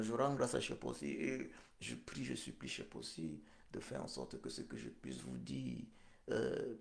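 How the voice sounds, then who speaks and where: A middle-aged man, recovering from a hospital stay, hosting a live-stream political address in a solemn, slow, repetitive way full of fillers. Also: a bass voice, vocal fry. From Cameroon. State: convalescent after hospital stay. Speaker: political livestream host.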